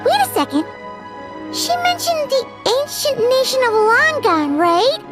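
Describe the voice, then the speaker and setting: Tiny nosy fairy sidekick, squeaky as anything, game voice, music behind. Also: female voice, sing-song, a little slow, heavily acted. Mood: curious, excited. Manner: squeaky, refers to herself by name. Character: tiny childlike floating fairy companion, chirpy and inquisitive. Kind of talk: animated video game acting.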